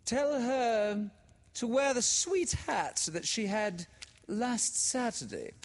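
A man voicing a mother who calls out loudly through the house, in a storybook reading. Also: very high voice, sing-song, takes it slowly, big-room sound, voice pushed up, full character voice. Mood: calling. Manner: calling out loudly.

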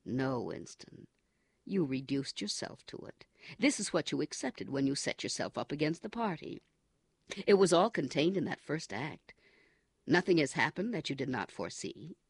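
Male reader doing a menacing, forceful interrogator; gravelly, dry studio sound. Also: a little high, very animated, normal speed, no room sound, exaggerated voice. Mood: calm. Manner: forceful, contemptuous, coldly commanding. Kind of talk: audiobook character reading.

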